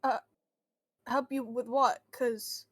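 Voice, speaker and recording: A woman playing a friendly but guarded boy who hears voices, halting and reassuring, in an improvised tabletop roleplay. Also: a little high, level tone, a little hiss or hum. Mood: wary, willing.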